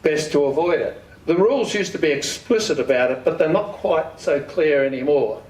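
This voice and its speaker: A man's voice: on the high side, moderate pace, a little mellow. A plain-spoken Australian man giving an informal instructional talk, often putting questions and answering them himself. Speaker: amateur radio club speaker. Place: community hall. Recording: echoey room, distant microphone.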